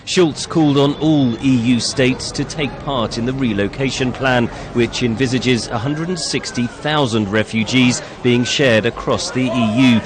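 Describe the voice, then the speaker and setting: A man's voice: mid-range voice, normal speed, hardly any pauses, background noise. Male news reporter narrating a package.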